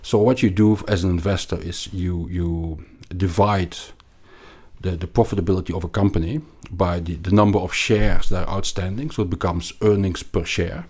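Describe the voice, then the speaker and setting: A man with a Belgian accent explaining things as an interview guest, haltingly and emphatically, often repeating words. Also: sits low, fairly even intonation, a little mellow, slightly rough. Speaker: podcast guest, investment analyst.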